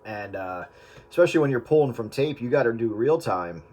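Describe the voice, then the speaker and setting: A man's voice: mid-range voice, expressive, brisk, darkish tone. A man hosting a casual chat, explaining technical points as an audio engineer would.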